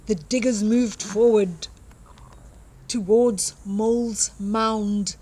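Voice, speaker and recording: A woman's voice: mid-range voice, steady tone, drawn-out words, mellow. An older woman reading a picture book aloud expressively to young children, with simple explanatory asides. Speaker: children's storytime reader and host.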